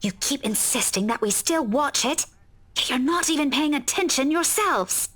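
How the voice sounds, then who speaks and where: A woman's voice: sits high, expressive, normal speed, a bit bright. An actress playing a bossy, easily irritated young woman in a visual novel game. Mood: scolding.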